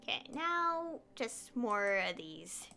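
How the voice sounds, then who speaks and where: A woman's voice: fairly high pitch, sing-song, slow pace, creaky, sharp tone, slight background noise. A woman doing casual live game commentary, rambling and thinking aloud as she plays. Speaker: game streamer. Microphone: headset. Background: game music.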